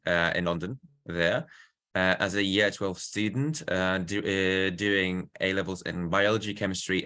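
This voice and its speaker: A man in his late teens with a Polish accent, answering interview questions earnestly and haltingly, often repeating words as he searches for phrasing. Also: deep voice, pushed and bright, clear, resonant tone. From Poland. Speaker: student interview guest.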